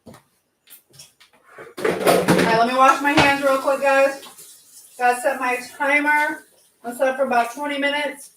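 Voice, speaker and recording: A woman's voice: high voice, flat delivery, measured pace, long pauses. A woman hosting a casual live stream while busy in the kitchen, chattily talking to herself and to her viewers and reading out comments. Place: indoors in a kitchen. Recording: echoey room with clear background noise.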